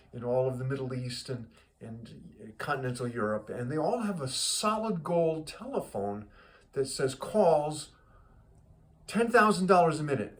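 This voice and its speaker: A man voicing a curious, puzzled traveling photographer in a spoken joke, asking questions haltingly. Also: mid-range voice, natural voice.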